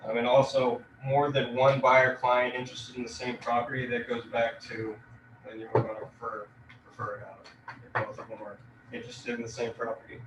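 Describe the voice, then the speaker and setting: A man giving a relaxed real estate lecture, leaning on fillers as he explains. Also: mid-range voice, fairly quick. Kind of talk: casual classroom lecture. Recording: echoey room, distant mic, noisy.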